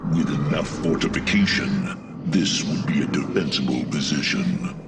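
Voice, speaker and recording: An actor playing a grave, steady male alien advisor in a video game, speaking in a measured, formal way. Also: rumbling low, creaky, distant mic, loud music behind the voice, full character voice. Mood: watchful. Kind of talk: game cutscene voice acting.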